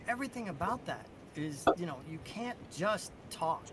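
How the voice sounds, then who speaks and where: A man with a moderately high voice talks as a podcast panelist. He is casual and enthusiastic, landing short emphatic points. A faint rumble runs underneath. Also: expressive, moderate pace, a bit bright. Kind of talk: casual fan chat.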